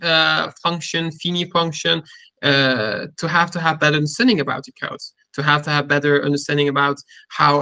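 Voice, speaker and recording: A man with a Turkish accent hosting a casual technical interview, speaking haltingly and often repeating and rephrasing his words. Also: on the high side, creaky, bright, forceful tone, no echo. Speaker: interviewer and cybersecurity content creator.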